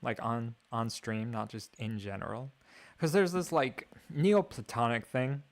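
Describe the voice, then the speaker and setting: A young man streaming alone, thinking out loud in a wry, mock-grand way. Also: medium pitch, a little slow. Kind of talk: rambling livestream monologue.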